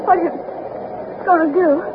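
A woman's voice: very high voice, steady tone, normal speed. An actress playing an affronted, haughty lady with an American Southern accent and a fluttery drawl in an old-time radio drama. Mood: alarmed, pleading. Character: indignant, haughty Southern lady train passenger. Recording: dull, faintly noisy old radio broadcast.

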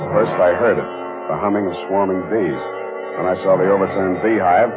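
Male actor playing hard-boiled private eye, low voice, quick, wry, clipped, forties radio drama, tinny band-limited recording. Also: natural voice. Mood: terse, grim. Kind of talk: 1940s radio detective drama, dialogue and first-person narration. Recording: tinny old radio recording, loud music behind.

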